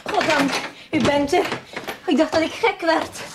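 High-voiced, frightened wealthy wife. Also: female voice, unhurried, background noise. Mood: relieved, shaken. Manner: anxious, pleading. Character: frightened, desperate wealthy wife in her early thirties. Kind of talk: radio drama acting.

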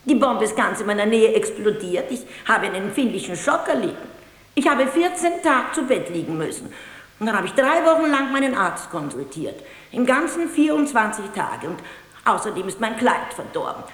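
A woman's voice: on the high side, very animated, normal speed, fairly bright tone. An actress playing an indignant, self-important female plaintiff who makes her claim with aggrieved emphasis in a radio drama. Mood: aggrieved, self-righteous. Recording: echoey hall with long reverb.

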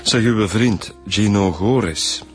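An actor playing a blunt, coarse-talking Flemish police inspector in a radio drama. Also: male voice, fairly low pitch, takes it slowly, soft background music.

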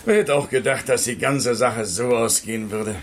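A man's voice: medium pitch, normal speed, a little hiss or hum, natural voice. An actor playing a fatherly, somewhat naive middle-aged man with a familiar, effusive manner, in 1960s German radio drama style. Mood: friendly, pleased. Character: fatherly, admiring, somewhat naive older acquaintance.

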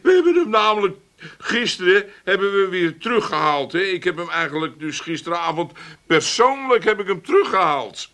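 A man's voice: high-pitched, very animated, moderate pace, pushed and bright, exaggerated voice. An actor playing a shifty, chummy Amsterdam fence in a comic radio play, hedging and wheedling as he talks. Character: shifty, chummy Amsterdam fence selling fake clocks, sheepish burglar.

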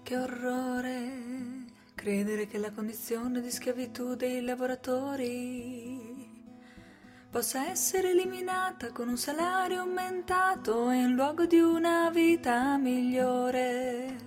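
High-voiced woman reciting slowly over music. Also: fairly even intonation. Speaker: stage actress. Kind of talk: theatrical scripted recitation for radio theatre. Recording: prominent music under the voice.

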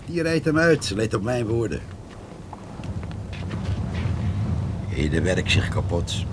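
An actor playing a chatty, folksy old pensioner who rambles proudly in a radio play. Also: male voice, low-pitched, noisy background, exaggerated voice. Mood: folksy, musing. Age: 65 or older. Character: chatty village old-timer on a pension, nobody's servant. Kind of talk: radio drama narration in character.

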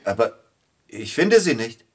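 An actor playing a celebrated pianist and bereaved husband in a German radio play, speaking formally and politely with some hesitation. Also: male voice, mid-range voice, very animated, unhurried, slightly rough. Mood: brooding, grieving.

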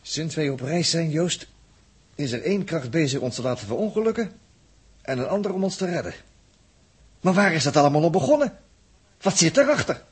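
A man's voice: on the high side, very animated, fairly quick, long pauses, breathy edge, exaggerated voice. An actor playing a baffled, uneasy male spaceship crewman in a 1960s Dutch radio drama, emphatic and repeating himself. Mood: uneasy, grave.

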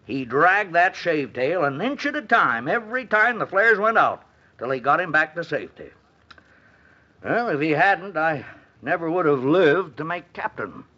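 An actor playing a jovial army captain in a 1950s radio comedy, husky-voiced and eagerly telling stories. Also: male voice, fairly high pitch, normal speed, full character voice. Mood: excited storytelling. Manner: animated storytelling with chuckles. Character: jovial army captain, eager storyteller, fond of his comrade. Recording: muffled old radio recording.

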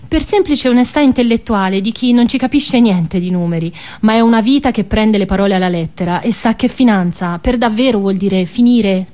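A woman's voice: mid-range voice, darkish tone. Tuscan woman performing a theatrical monologue. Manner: colloquial, ironic storytelling. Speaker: stage actress and monologist.

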